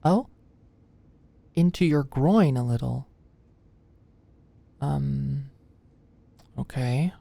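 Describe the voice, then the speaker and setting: A low, unhurried young woman's voice , performed by an actress, plays an anxious medical student in an ASMR roleplay. She is shy and flustered, hesitating and stammering as she goes. The sound is dry and intimate. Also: gravelly, warm tone, dry recording, full character voice. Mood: concerned, puzzled. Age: young adult. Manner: stammering, hesitant, muttering asides under breath. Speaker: voice actor in audio roleplay.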